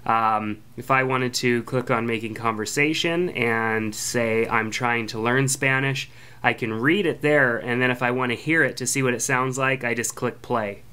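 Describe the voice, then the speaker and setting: A man hosts a casual app review podcast in a laid-back, friendly way. His voice is bright and smooth, and he fills pauses with ums and uhs. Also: medium pitch. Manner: relaxed, filler-heavy, friendly. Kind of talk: casual product review voice-over.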